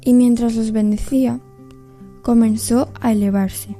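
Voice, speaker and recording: Female storyteller for radio drama: very warm, dark timbre, slightly high, reflective scripted read. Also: fairly even intonation, measured pace, faint music behind. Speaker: story narrator.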